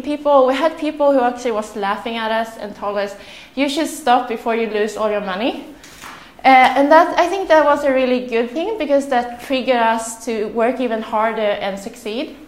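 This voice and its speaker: A young Swedish-accented woman speaking to a room of listeners, chatty and a little nervous, laughing at herself often. Her voice sits fairly high. The sound carries noticeable echo from the room. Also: normal speed. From Sweden. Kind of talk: nervous live talk to a small audience. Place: room with audience.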